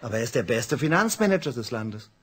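An actor playing a driven, work-obsessed engineer husband in a German radio drama, expressive, placating and insistent. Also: male voice, medium pitch, fast talker, slightly rough. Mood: placating, apologetic.

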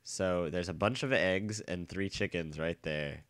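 A young man with a bad cold and a nasal, stuffy voice, chatting casually and rambling excitedly while playing a game. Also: deep voice, measured pace, no echo. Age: young adult. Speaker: let's play gamer. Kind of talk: casual gameplay commentary. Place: dorm room.

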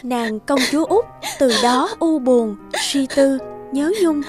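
A woman's voice: sits high, expressive, slow, faint music behind. A woman giving scripted storybook narration for a cartoon fairy tale.

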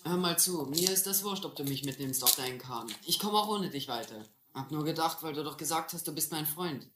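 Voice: mid-range voice, animated, brisk, natural voice. A young performer playing an earnest, defiant runaway boy in a radio play, insistent and boyish. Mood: defiant, hurt. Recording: echoey old recording, faint noise.

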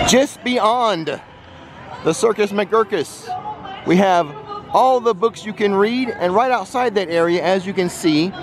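A man narrating an unscripted walking tour as a vlogger and guide, speaking directly to viewers and pointing out sights. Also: high-pitched. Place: outdoors, walking through a busy theme park. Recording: outdoor ambient noise of busy park.

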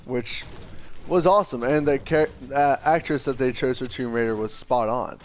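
A man's voice: medium pitch, moderate pace, a little hiss or hum. A young man co-hosting a gaming show, chatting casually and giving emphatic opinions over gameplay.

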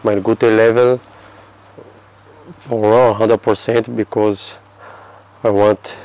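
Slow, low Brazilian man in halting English. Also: flat delivery, darkish tone, poor audio. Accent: Brazilian Portuguese. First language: Portuguese. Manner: halting non-native English, many restarts. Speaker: football player. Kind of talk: interview answers. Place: outdoor terrace by a yellow building.

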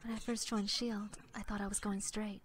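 A woman's voice: medium pitch, level tone, normal speed, a little hiss or hum, lightly acted. A woman in a fan dub playing a cool, guarded, wry super-spy agent. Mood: low, earnest. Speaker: amateur voice actor in a fan dub. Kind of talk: fan dub film acting.

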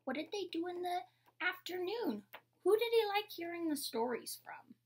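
A young adult woman reading a picture book aloud like a teacher, in a measured, instructional way. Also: very high-pitched, very animated, a little hiss or hum. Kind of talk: picture-book read-aloud with short explanations. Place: indoor room with painted mural wall.

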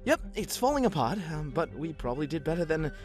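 A man's voice: fairly high pitch, sing-song, quick pace. A man voicing a chatty, slightly smug killer robot in a comic dub, with theatrical, scheming politeness. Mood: smug, explanatory. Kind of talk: fan comic dub acting. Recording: music behind the voice.

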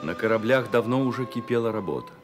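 A man narrating a literary story for a Soviet-era radio play, measured and expressive, with deliberate pauses. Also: lowish voice. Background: music.

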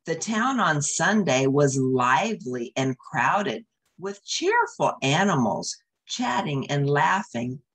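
Female storyteller for a children's picture book: husky, lowish voice, expressive read with friendly asides to young listeners. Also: slow pace.

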